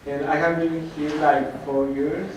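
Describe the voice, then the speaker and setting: A man's voice: on the high side, flat delivery, measured pace. Young man with a Mexican accent. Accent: Mexican Spanish. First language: Spanish. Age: young adult. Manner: hesitant, simple phrasing. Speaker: student panelist. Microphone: handheld mic. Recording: echoey room from far off, faint noise.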